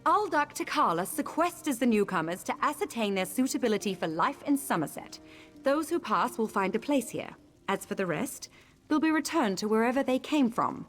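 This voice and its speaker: A woman playing a haughty, imperious high elf noblewoman in a video game, speaking formally and defensively. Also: very animated, normal speed, faint music behind. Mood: indignant. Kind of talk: video game character acting.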